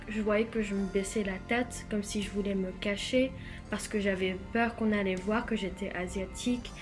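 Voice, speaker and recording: A young woman from the Philippines, a native English speaker with an English-speaking accent in French, giving a halting, hesitant personal testimony as an interview guest. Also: medium pitch. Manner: hesitant, halting, full of fillers and restarts.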